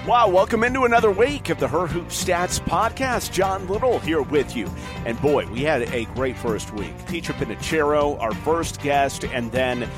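A man's voice: a little high, lively intonation, moderate pace, music in the background. A man hosting a podcast, talking in a conversational introductory style. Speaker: podcast host.

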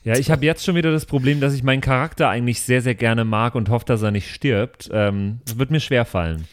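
Informal man with a medium-pitched voice. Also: a little fast. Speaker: podcast cast member. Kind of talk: casual chat.

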